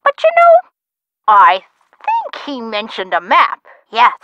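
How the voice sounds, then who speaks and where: A feisty old gangster's sweetheart from a video game, chatty and theatrical. Her voice is fairly high and bright, with lively swoops in pitch. The audio is dry and a little dull. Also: slow pace, no room sound, heavily acted. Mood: gossipy, reminiscing. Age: older. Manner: theatrical, folksy old-time slang. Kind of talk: video game character dialogue.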